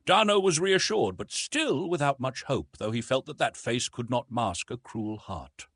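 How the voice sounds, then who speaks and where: A man's voice: mid-range voice, expressive, unhurried, a bit bright, breathy edge, dry recording. A man narrating an adventure novel as an audiobook in a theatrical, suspenseful storytelling style.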